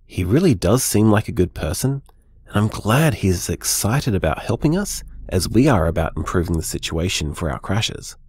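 Audio drama: worried, conscientious boyfriend played by a man, lowish voice, hesitant and earnest, dry close recording. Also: sing-song, moderate pace, darkish tone, husky, no room sound, exaggerated voice. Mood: anxious, sorry. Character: conscientious, anxious, apologetic man, loving partner, on voicemail. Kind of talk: intimate audio drama monologue.

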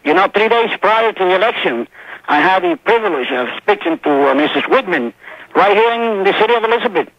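A man with a Cuban Spanish accent speaks formally and earnestly over a phone line. His voice sits high with a gravelly edge, dry and muffled by AM radio. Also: huge pitch swings, fairly quick, dry recording. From Cuba. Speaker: caller. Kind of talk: phone-in call.

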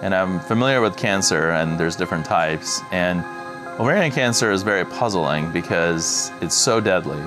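Man, middle-aged, very low voice, explanatory, music underneath. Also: expressive. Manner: measured, explanatory. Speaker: bioengineering researcher. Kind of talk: interview explanation.

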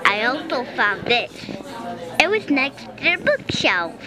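A woman's voice: high-pitched, very animated, a little slow, a crowd in the background, rough recording. A young female performer voicing a lady librarian in a children's radio drama, careful and theatrical. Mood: puzzled. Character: mysterious lady town librarian.

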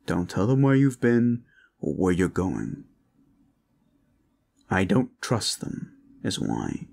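A man performing an intimate audio roleplay as a protector, speaking in a reassuring, courteous, measured way. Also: low voice, sing-song, vocal fry, darkish tone. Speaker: roleplay voice actor, playing a gentle protector.

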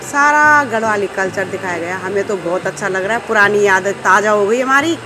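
A woman's voice: medium pitch, a little fast, background noise. A middle-aged woman from Garhwal, fondly pointing out and explaining old objects in a small cafe. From Garhwal, Uttarakhand. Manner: fond, explanatory, lists objects. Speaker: family member, mother. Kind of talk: casual show-and-tell. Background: music.

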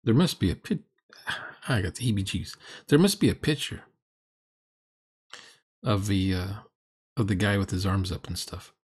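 A man's voice: deep voice, animated, normal speed, pauses often, a little mellow, breathy edge. A middle-aged man giving blunt, mocking commentary as he reacts to a game, in a sarcastic manner. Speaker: host reacting to a recorded roleplaying game.

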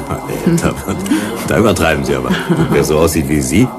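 Deep-voiced, teasing suave visitor. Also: male voice, animated, fairly quick, music in the background. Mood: probing, flirtatious. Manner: teasing, questioning, ironic. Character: suave, probing male visitor, teasing and flirtatious. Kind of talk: German science-fiction radio drama acting.

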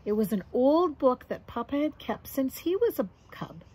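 Expressive, husky-voiced woman reading a storybook. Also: high-pitched, moderate pace. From Canada. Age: middle-aged. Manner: expressive, addressing young children. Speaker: grandmother reading to grandchildren. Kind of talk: reading a children's picture book aloud, with asides. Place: outdoors on a deck or yard among trees.

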